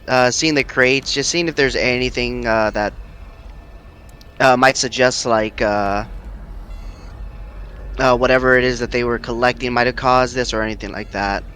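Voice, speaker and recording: A man chatting casually as a player in a tabletop role-playing game, informal and halting. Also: mid-range voice, fairly even intonation, bright, forceful tone, slight background noise.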